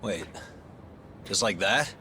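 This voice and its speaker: A man's voice: lowish voice, expressive, slow pace, slight background noise, full character voice. A man playing a composed, dry-witted investigator in an English video game dub, understated and sardonic. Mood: questioning. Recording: loud music over the voice.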